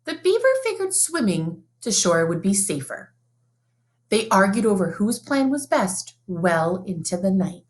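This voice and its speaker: A woman reading a children's picture book aloud in an expressive, sing-song storybook manner, like a teacher. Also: medium pitch, a little slow.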